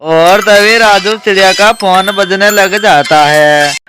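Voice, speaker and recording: A woman's voice: medium pitch, takes it slowly, noisy background. A woman narrating a scripted children's cartoon story.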